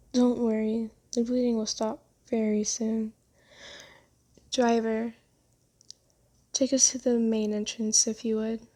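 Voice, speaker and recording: A kind, genteel vampire lady acted by a woman in an ASMR roleplay. Her voice sits fairly high but stays very even in pitch, with soft delivery. She soothes and gently teases, doting on her listener at an unhurried pace. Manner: soothing, teasing, genteel endearments.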